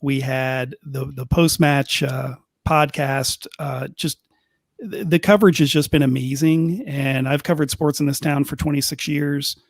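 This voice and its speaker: A man's voice: on the high side, fairly even intonation, a little slow, gravelly, no room sound, clean studio sound. A middle-aged man, a longtime sports reporter on a podcast panel, talking casually with lots of restarts. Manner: rambling, many restarts and fillers. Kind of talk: casual chat.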